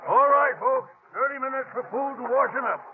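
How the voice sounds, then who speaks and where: An actor playing a gruff, bossy veteran stagecoach station keeper with folksy rural speech in a 1950s radio drama. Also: male voice, very high voice, fairly quick. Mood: calling out, impatient. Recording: noisy, tinny vintage radio recording.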